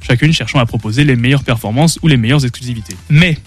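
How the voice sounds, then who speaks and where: A young man reads his gaming column on student radio, with hesitations and small restarts along the way. His voice sits at a middle pitch, with faint music in the background. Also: fast, husky. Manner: reads a script with frequent hesitations. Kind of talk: reading a prepared radio segment. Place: radio studio. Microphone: studio mic.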